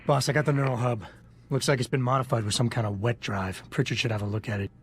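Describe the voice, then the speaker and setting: A man's voice: mid-range voice, fairly even intonation, fast, close to an everyday voice. A man voicing a grim, blunt male augmented ex-cop agent in a game, with a curt, cold manner. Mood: flat.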